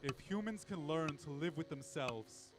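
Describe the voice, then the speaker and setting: A man playing a wry, lofty embodiment of death in a radio drama, making grand, measured pronouncements with sardonic jabs. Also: a little high, animated. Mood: solemn musing. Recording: voice under prominent music.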